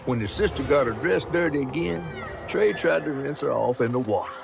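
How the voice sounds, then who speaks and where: An actor playing an old, folksy, wry Southern storyteller in a video game, drawling like someone telling a folk tale. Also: male voice, a little high, lively intonation, fairly quick, creaky. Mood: storytelling. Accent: American South. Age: older. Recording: music under the voice. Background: game music.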